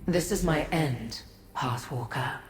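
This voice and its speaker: An otherworldly, weary goddess from a fantasy game, regal and mysterious. Her low voice moves very slowly and formally through a long echoing reverb, over faint ambience. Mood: resigned. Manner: measured, formal, grave. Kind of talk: scripted fantasy game dialogue. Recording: echoey reverb, faint noise.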